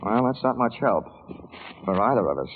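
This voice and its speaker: An actor playing a cynical, wisecracking insurance detective in a 1940s hardboiled radio drama, breezy and sardonic. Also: male voice, fairly low pitch, sing-song, normal speed, a little hiss or hum. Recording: muffled, reverberant 1940s radio recording with music.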